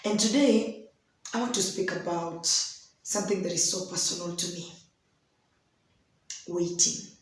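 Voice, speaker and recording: A young Kenyan woman with a hoarse voice tells a personal story in an unhurried devotional talk, slipping in Swahili phrases. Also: fairly low pitch. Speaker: host of a daily devotional. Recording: very echoey room.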